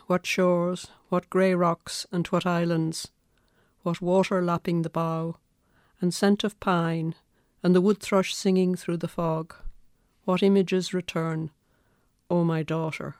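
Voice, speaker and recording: A woman's voice: lowish voice, darkish tone, no room sound, studio quality. A woman with an Irish accent speaking as a poet and guest reader, in a literary, reflective style. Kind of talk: measured poetry reading and reflective interview talk.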